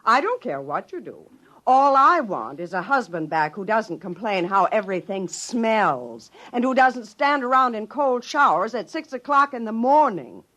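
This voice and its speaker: An actress playing an exasperated, sharp-tongued wife who scolds dryly, in 1950s radio drama style. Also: female voice, a little high, big pitch swings, normal speed, a little hiss or hum, rough recording. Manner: scolding, dry.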